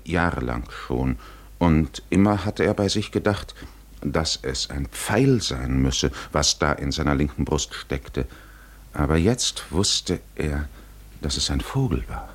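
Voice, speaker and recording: A man narrating a literary 1950s radio drama in a grave, measured, deliberate storytelling manner. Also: low-pitched.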